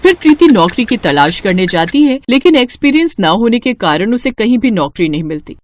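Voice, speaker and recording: A woman's voice: a little high, very animated, fairly quick, darkish tone, no echo, studio quality. A woman reading a scripted moral story as its narrator.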